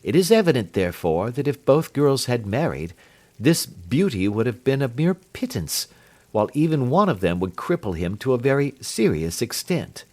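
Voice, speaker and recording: A man voicing a calm, amused detective who speaks quietly and imperturbably. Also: mid-range voice, normal speed. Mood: explaining.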